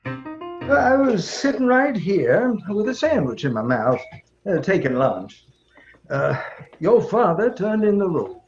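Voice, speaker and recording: An actor playing a chatty, folksy small-town shopkeeper in an audio drama, halting and rambling as he tells things. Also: high-pitched, sing-song, lo-fi sound, soft background music, full character voice. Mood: amused, proud. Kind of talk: audio drama acting, storytelling.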